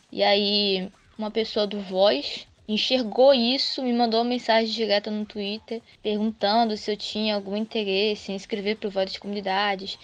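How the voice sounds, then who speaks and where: A woman in her twenties with a Rio de Janeiro Brazilian accent, talking casually about herself as a podcast guest. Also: medium pitch. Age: 20s. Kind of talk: casual self-introduction and recollection. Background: music.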